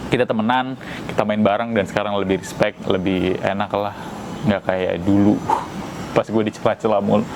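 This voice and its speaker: Male vlogger, deeper voice, rambling casual commentary, noisy street background. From Tegal, Central Java. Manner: rambling, repeats his own phrases. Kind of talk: casual opinion monologue.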